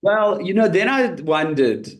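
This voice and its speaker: A man's voice: high-pitched, animated, unhurried. A man guesting on an interview as a comedian, telling his story in a chatty way, laughing easily and restarting his sentences often.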